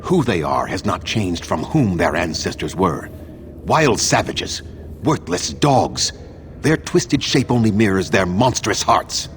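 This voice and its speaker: An actor playing an ancient, unforgiving elven mage in a video game, speaking in a stern, formal and scornful manner. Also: male voice, deep voice, sing-song, a little slow, a little hiss or hum. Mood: bitter, scornful.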